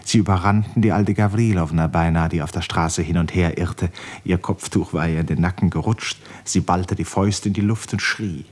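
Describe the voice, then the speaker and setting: A man narrating a 1950s German radio drama in a scripted literary style, with dramatic, measured storytelling. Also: low-pitched, fairly even intonation, darkish tone.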